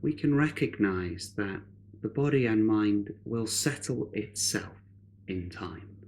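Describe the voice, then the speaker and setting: Male meditation guide: fairly low voice, very slow and measured delivery, light ambient noise behind. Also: expressive, a little mellow. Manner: measured, unhurried, with long pauses.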